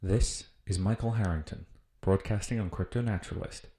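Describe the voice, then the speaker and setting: A man's voice: deep voice, normal speed, warm tone, echoey room. A man playing a field reporter making a scripted radio-style broadcast in an audio drama. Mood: formal.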